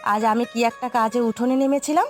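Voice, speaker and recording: A woman's voice: on the high side, a little fast, a little mellow, soft background music, natural voice. A woman voicing an indignant village neighbour in a cartoon drama, confiding gossip with feeling.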